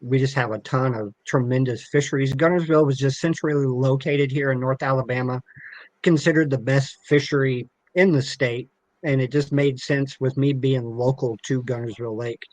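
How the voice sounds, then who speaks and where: A man with an even, fairly flat delivery talks as a podcast guest. He is plain-spoken and earnest, explaining his background in a casual way. The recording is dry, with hardly any room sound. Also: medium pitch, moderate pace, dry recording, poor audio. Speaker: podcast guest, tournament director. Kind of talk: casual interview talk about himself.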